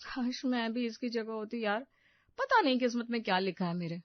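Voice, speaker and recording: A woman's voice: a little high, fairly quick, no echo, muffled recording, natural voice. A woman voicing an envious, discontented young housewife in a storybook tale, whining and exclaiming with self-pity. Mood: envious, sulky.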